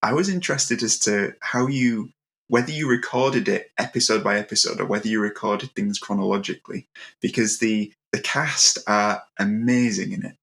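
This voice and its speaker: A man conducting a casual interview. His voice sits slightly low and has a noticeably creaky, gravelly grain. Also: normal speed, a bit bright.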